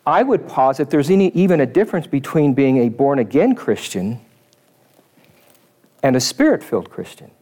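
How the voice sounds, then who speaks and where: A man's voice: medium pitch, big pitch swings, darkish tone. Man giving a reflective sermon. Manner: thoughtful, measured, reads quotes aloud.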